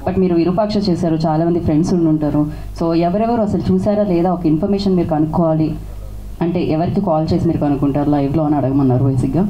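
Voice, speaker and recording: A woman's voice: low voice, racing, warm tone, slight background noise, poor audio. A woman hosting a live stage event in a chatty way, speaking into a microphone. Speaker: event host.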